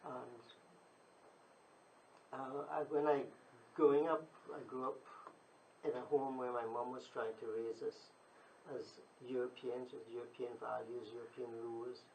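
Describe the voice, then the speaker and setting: A man with a Caribbean accent answers interview questions reflectively. His voice is creaky and comes across distant, with some noise. He speaks in measured, halting phrases. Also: medium pitch, level tone, a little mellow. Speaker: interview guest, doctor. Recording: distant, noisy, like a remote guest.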